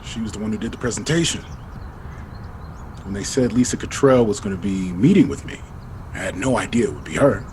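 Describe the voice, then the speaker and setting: A man's voice: fairly low pitch, brisk, long pauses, a little mellow, slight background noise, close to an everyday voice. A man acting in an audio drama as a guarded, regretful husband who talks in a colloquial, defensive way. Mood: regretful, low-key. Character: married man hiding an old engagement, guarded and regretful.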